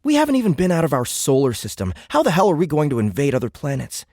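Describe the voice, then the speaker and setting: A man's voice: a little high, sing-song, quick pace, a little mellow, no room sound, studio quality. An actor playing a sarcastic, indignant American teenage boy in an audiobook drama, talking in exasperated slang.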